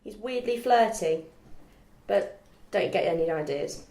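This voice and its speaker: Woman acting a brash student flatmate, echoey room. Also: medium pitch, unhurried, creaky. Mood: blunt, advising. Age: young adult. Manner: bossy, chummy, blunt. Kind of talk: script table read. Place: indoor room at a group read-through. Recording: echoey room, background noise.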